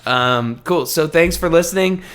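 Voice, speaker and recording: A man's voice: fairly high pitch, a little slow, fairly bright tone, smooth. A man co-hosting a podcast, making informal, easygoing announcements in casual chat. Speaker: podcast co-host.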